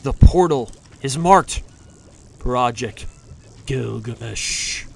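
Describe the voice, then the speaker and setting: A man narrating a campy retro serial in a theatrical, deliberate, scripted style. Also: medium pitch, vocal fry, a little hiss or hum.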